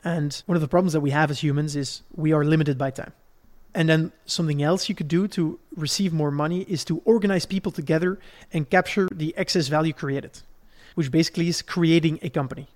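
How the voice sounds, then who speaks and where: A man's voice: a little high, steady tone, a little fast, a little mellow. A man from Belgium explaining a subject in a conversational podcast style, laying it out step by step and checking in as he goes. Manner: didactic, step-by-step, checks in with "right?". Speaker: podcast host explaining investing.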